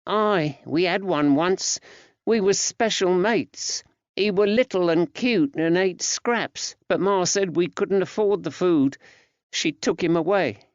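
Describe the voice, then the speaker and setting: A frightened young working-class lad, played by a male narrator, speaks in a high, plaintive voice with rustic old-fashioned dialect. He sounds grief-stricken and earnest, in an audiobook story on a dry, slightly dull recording. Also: slow, dry recording. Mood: wistful.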